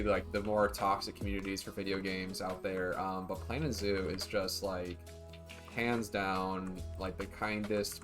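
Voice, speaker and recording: Laid-back rambling male game streamer. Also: fairly low pitch. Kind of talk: casual livestream chat.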